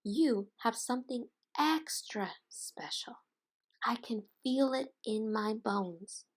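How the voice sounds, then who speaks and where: A woman's voice: a little high, lively intonation, slow. A woman voicing an encouraging, determined mother bulldog from a children's storybook, emphatic and reassuring.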